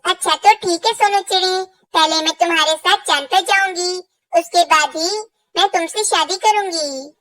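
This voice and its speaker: A woman's voice: very high-pitched, normal speed, fairly bright tone, no echo. A woman voicing a dreamy, wistful young female bird in a children's cartoon. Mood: hopeful, decided. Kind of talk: Hindi children's cartoon dialogue.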